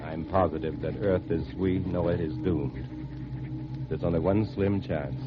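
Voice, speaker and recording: Anxious American husband on the run, deep voice, 1950s radio drama narration, muffled old recording. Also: male voice, fairly even intonation, unhurried, heavy background noise. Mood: haunted narration. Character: anxious husband and father fleeing alien invaders, narrating his ordeal.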